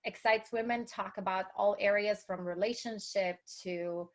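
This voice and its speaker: A woman's voice: mid-range voice, fairly even intonation, moderate pace. A woman from Russia with a Russian accent, speaking as an entrepreneur guest in an interview in an enthusiastic, motivational way. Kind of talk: interview conversation.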